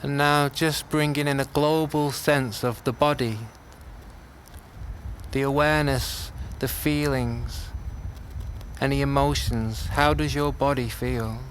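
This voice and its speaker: Slow-speaking man guiding meditation outdoors. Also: medium pitch, little pitch movement. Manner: gentle, unhurried, with long pauses between phrases. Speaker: meditation teacher. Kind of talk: guided meditation. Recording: outdoor class with clear background noise.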